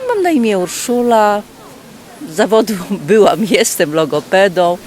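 A woman's voice: medium pitch, expressive, measured pace, a little mellow. An older woman from the audience, nervous and heartfelt, hesitant as she speaks in a street interview.

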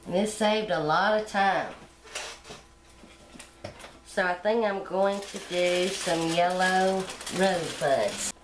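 A middle-aged woman hosting a cooking channel, explaining step by step how to decorate a sugar egg at home in a relaxed, homey way. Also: fairly low pitch, takes it slowly, pauses often, roomy sound, slight background noise. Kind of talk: hands-on decorating tutorial.

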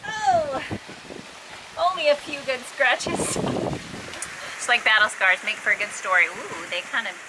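A bright, high-voiced woman in her thirties narrates a sailing trip in casual vlog chat. She laughs easily and her pitch swings widely. Heavy wind rushes across the camera mic. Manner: lively, playful, laughs often. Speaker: sailing vlogger. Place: outdoors on a windy lighthouse gallery.

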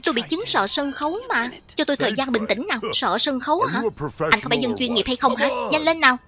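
A woman's voice: sits high, expressive, fast. A woman reading a scripted cartoon voice-over translation, speaking for every character herself. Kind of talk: scripted cartoon dub narration, one reader voicing every character over the original. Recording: loud film soundtrack underneath. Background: original cartoon audio and music.

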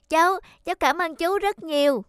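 A woman voicing an earnest, polite, determined young boy in an animated cartoon. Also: very high-pitched, measured pace, over music. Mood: moved. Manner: polite, earnest, heartfelt delivery.

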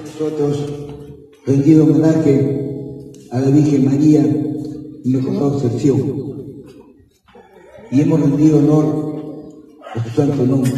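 Older priest reciting a solemn mass. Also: male voice, fairly high pitch, dark tone. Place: large tented outdoor patio. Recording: roomy, distant camera audio.

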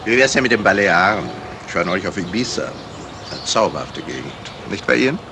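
Casting: shrewd, urbane detective, lively melodic delivery, vintage radio play with clear noise. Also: male voice, low-pitched, brisk, exaggerated voice. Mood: courteous, probing. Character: shrewd, courteous gentleman detective, probing suspects. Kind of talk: 1970s German radio crime drama acting.

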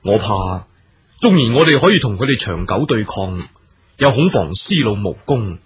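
A male actor playing a grave, deliberate army commander in a period radio drama, speaking formal, measured Hong Kong Cantonese. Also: on the low side, sing-song, husky, exaggerated voice. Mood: grave, concerned. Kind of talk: theatrical radio drama acting.

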